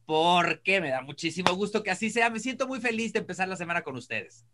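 A middle-aged man with a Mexico City accent, co-hosting a casual panel chat with colloquial, expressive phrasing. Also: high-pitched, fast, background noise. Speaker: show co-host. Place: indoors, video call.

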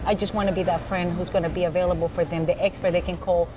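A woman's voice: on the low side, flat delivery, quick pace, background noise. A woman in her thirties from El Salvador, with a Salvadoran accent, chatting earnestly as a real estate agent guest, often repeating words. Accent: Salvadoran Spanish. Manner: earnest, upbeat, repeats words while talking. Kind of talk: casual interview chat. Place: inside a car, then a café. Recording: background music under the talk.

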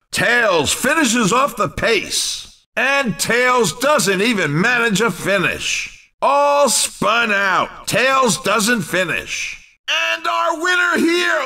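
A man playing an over-the-top, excitable racing game commentator with theatrical, exclamatory announcing. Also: very high-pitched, recorded from a distance, exaggerated voice. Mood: mock disappointed. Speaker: race commentator. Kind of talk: video game sports commentary.

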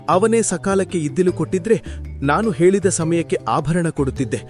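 A man's voice: a little high, a little mellow, lightly acted. A man playing an anxious goldsmith in a cartoon folktale, pleading insistently and shifting the blame. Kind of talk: cartoon storybook character acting. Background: background music.

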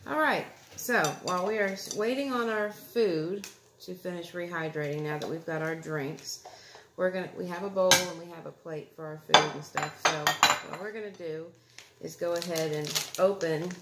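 A woman's voice: fairly low pitch, fairly even intonation, normal speed. A woman in her thirties casually chatting and tasting food for her vlog, talking to viewers and her son at the table at home. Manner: homey, talks to viewers and son while unwrapping food. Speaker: food reviewer vlogger. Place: home dining room, indoors. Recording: echoey room with clear background noise.

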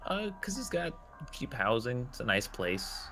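A man's voice: medium pitch, very animated, a little slow, bright, forceful tone, faint music behind. A man chatting casually with friends while playing a video game. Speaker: gamer in a group play session. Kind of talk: casual chat over gameplay. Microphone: online voice chat mic.

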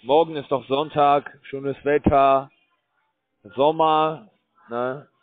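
A man calling from a scam call center, speaking broken full of fillers as he pushes his pitch. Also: steady tone, slow pace, no room sound. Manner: broken German, filler-heavy, pushy salesman. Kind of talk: phone call. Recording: tinny phone line.

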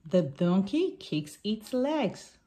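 Slow woman reading a children's picture book. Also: mid-range voice, very animated, warm tone, slight background noise.

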